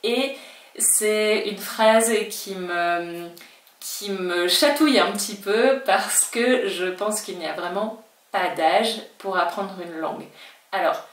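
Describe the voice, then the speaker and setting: Woman, native French speaker, Spanish teacher; friendly explainer, mid pitch, a bit off-mic in an echoey room. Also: takes it slowly, far from the mic. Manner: chatty, reassuring, acts out learners' worries in quotes. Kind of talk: talking-head language lesson.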